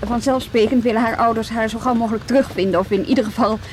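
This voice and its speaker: An actress in a Dutch radio drama playing a polite, persistent juvenile police officer, tentative and earnest. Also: female voice, on the high side, steady tone, fast talker, a little hiss or hum, lightly acted, low-quality recording.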